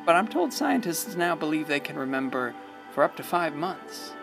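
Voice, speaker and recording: A folksy storyteller, music behind. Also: male voice, on the high side, very animated, moderate pace, creaky. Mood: wry, fond. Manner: chuckling, hesitant, theatrical. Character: folksy, wry small-town storyteller, fond and rambling. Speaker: narrator. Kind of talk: conversational scripted radio play narration. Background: prominent music.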